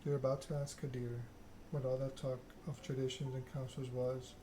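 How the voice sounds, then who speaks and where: Male ASMR game reader, measured and hushed, very even intonation, noticeably noisy recording. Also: medium pitch. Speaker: video game player reading the story aloud. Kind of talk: ASMR game read-along, narration with dialogue.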